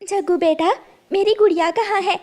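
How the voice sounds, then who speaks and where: A woman's voice: very high voice, steady tone, moderate pace, a little mellow, pitched above the performer's own voice. A woman voicing a mother sparrow who calls anxiously and wails for her lost daughter. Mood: distraught. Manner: anxious, wailing.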